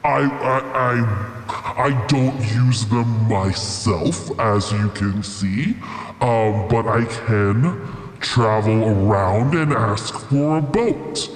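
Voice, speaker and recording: A man voicing a patient, courteous sea turtle creature in a halting, polite way, with reverb on the recording. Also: lowish voice. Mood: earnest.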